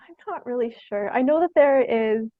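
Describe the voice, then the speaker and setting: A young woman from Canada answering interview questions casually, in a tentative, halting, giggly way. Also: fairly high pitch, lively intonation, no room sound. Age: young adult. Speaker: guest, theatre artist. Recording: dry, dull lo-fi sound.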